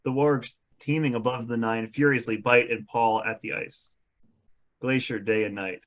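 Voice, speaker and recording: A man's voice: medium pitch, fairly even intonation, normal speed. A man reading scripted stage directions as narrator at an amateur comedic table read.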